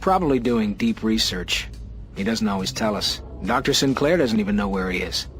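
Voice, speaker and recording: An actor playing a polite, chatty shuttle operator in a video game cutscene, courteous and a little apologetic. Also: male voice, lowish voice, lively intonation, moderate pace, soft background music, natural voice. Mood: obliging.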